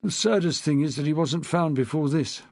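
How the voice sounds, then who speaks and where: A man's voice: on the high side, normal speed, creaky, a little mellow, no echo, clean studio sound. A man voicing a guarded middle-aged policeman who answers in terse, clipped lines. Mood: grim.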